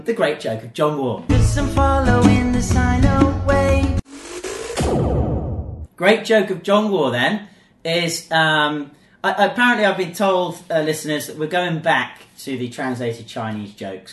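A man's voice: fairly high pitch, a bit bright. A man hosting a podcast, chatting playfully and reading a joke aloud. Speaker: podcast host. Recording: roomy echo.

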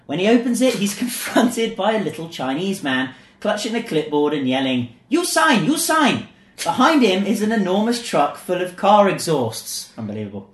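A man's voice: high-pitched, big pitch swings, normal speed, hardly any pauses, a little hiss or hum. A man hosting a podcast, chatting playfully and reading a joke aloud. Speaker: podcast host. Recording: roomy echo.

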